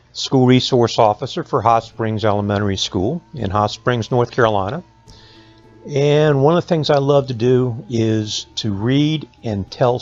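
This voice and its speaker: A man with a Southern Appalachian American accent telling stories to children in a folksy, conversational way with dramatic pauses. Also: mid-range voice, lo-fi sound. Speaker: school resource officer reading folk tales to students.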